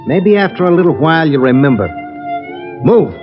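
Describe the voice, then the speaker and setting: An actor playing a curt, threatening gangster in a 1940s style radio drama. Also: male voice, on the high side, expressive, brisk, lightly acted. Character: menacing male gangster holding a detective at gunpoint. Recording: muffled old radio recording, loud music.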